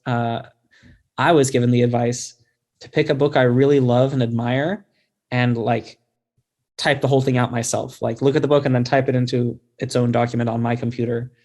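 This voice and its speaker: Male guest author, mid-pitched, conversational, thoughtful and self-deprecating in an informal interview.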